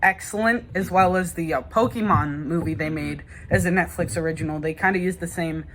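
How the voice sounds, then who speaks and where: A man's voice: fairly high pitch, brisk, fairly bright tone, slight background noise. A young man giving his views as a guest in a casual chat, tentative and often trailing off. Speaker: guest gamer.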